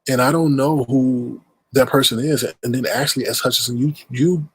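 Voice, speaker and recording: A man's voice: medium pitch, steady tone, moderate pace, breathy edge, no room sound, poor audio. A man with a stuffed-up nose hosting a casual livestream, rambling and greeting viewers in chat mid-sentence. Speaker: livestream host.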